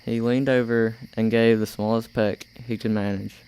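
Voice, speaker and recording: A man's voice: medium pitch, little pitch movement, normal speed, a little mellow, clean tone, poor audio. A young man reading scripted story narration for an amateur radio play. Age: teenager or young adult.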